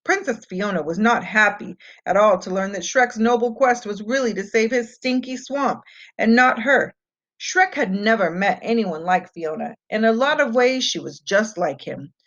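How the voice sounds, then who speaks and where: A woman reading a children's fairy tale aloud as an expressive storyteller. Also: mid-range voice, normal speed. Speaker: storybook reader. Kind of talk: scripted children's read-aloud narration. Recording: muffled, dull lo-fi home recording.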